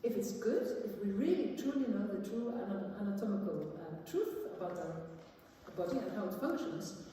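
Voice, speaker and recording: Echoey, mellow woman presenting. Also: medium pitch, lively intonation. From Iceland. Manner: informal, halting, explanatory. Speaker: music teacher and viola player presenting. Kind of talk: conference talk with audience questions. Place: large indoor conference hall. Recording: echoey hall from far off, faint noise.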